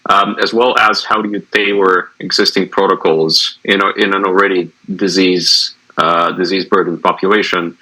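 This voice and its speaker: Man from Latvia, very low pitch, bright edge, measured explainer over a video call. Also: low-quality recording. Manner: measured, explanatory, many filler "uh"s. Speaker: venture capital fund partner. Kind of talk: remote interview answers.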